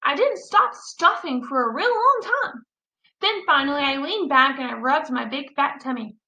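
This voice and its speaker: A woman reads a children's story in a high, bright voice. She narrates with childlike, expressive enthusiasm, and the room adds a noticeable echo. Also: moderate pace. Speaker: teacher reading aloud. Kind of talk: animated children's story read-aloud. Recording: roomy echo.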